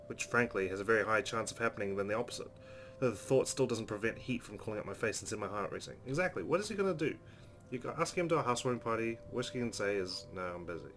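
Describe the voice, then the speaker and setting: Fast-talking low-voiced man reading game narration. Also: slight background noise. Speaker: let's play host. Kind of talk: reading visual novel narration aloud with commentary. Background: soft game music.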